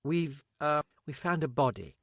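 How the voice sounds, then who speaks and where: A man's voice: a little high, lively intonation, unhurried, no echo, clean studio sound, voice pushed up. Man doing a wry female detective sergeant.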